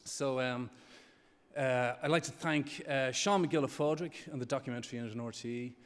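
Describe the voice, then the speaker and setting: A man giving an off-the-cuff acceptance speech, haltingly searching for his words. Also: medium pitch, fairly even intonation. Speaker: award winner. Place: large awards venue stage. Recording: echoey hall PA.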